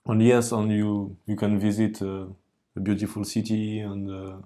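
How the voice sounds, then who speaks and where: A young man from France, giving a halting documentary interview answer, full of fillers and restarts. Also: fairly low pitch, little pitch movement, warm tone. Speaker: exchange student.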